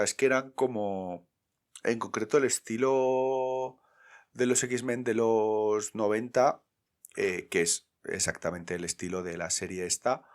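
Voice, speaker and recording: Low-voiced man, rambling and reminiscing in a casual podcast. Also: clear, resonant tone. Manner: meandering, hesitant, with many fillers. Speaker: podcast co-host. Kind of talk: casual chat.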